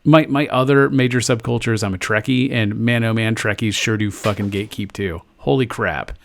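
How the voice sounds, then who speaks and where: A man's voice: fairly low pitch, fairly quick, creaky, slight background noise. A man co-hosting a podcast in casual chat, joking and swearing freely, often trailing off into fillers. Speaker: podcast co-host.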